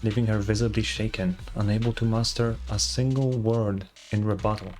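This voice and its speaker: A man's voice: on the low side, steady tone, moderate pace, a little mellow, soft background music. A young man, a gaming streamer, giving casual playthrough commentary and reading story text aloud.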